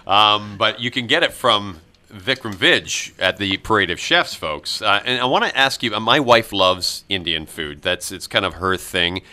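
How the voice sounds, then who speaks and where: A man hosting a morning radio show, interviewing a guest with a halting delivery full of "uh" and stammered restarts. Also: lowish voice, animated, bright, forceful tone. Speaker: radio host.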